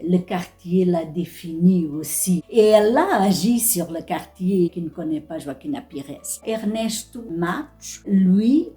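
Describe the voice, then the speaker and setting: A woman's voice: fairly low pitch, lively intonation, unhurried, few pauses, warm tone, faint music behind. A woman speaking thoughtfully in an interview.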